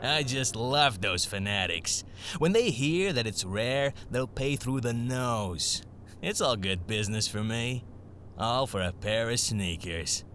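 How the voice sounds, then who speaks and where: A man's voice: mid-range voice, lively intonation, takes it slowly, a bit bright, a little hiss or hum. A man playing a greedy, scheming shopkeeper villain who gloats and mutters asides in an anime-style audio drama. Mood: gloating.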